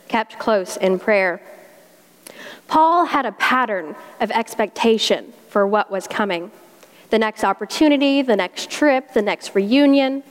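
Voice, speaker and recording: A woman with a South Carolina accent preaching a sermon in a folksy, conversational way, using homey Southern expressions. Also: fairly high pitch. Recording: long hall reverb.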